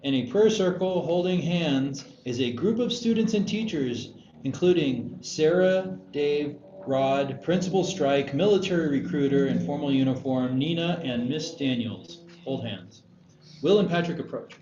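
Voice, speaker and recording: A man's voice: on the high side, lively intonation, unhurried. A man reading stage directions as narrator at a screenplay table read. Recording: dull echoey room, noisy.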